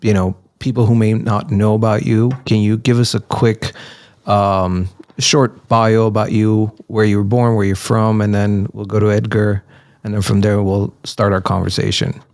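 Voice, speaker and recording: A man with a low voice hosts a conversational podcast interview. His phrasing is somewhat halting, and the sound is close and dry. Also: little pitch movement, darkish tone, no room sound. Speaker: podcast host.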